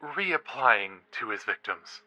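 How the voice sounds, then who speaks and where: An actor playing an eager young college true-crime podcaster in a horror audio drama, a teasing, suspenseful storyteller. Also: male voice, mid-range voice, very animated, takes it slowly, bright, forceful tone, full character voice, low-quality recording. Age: young adult.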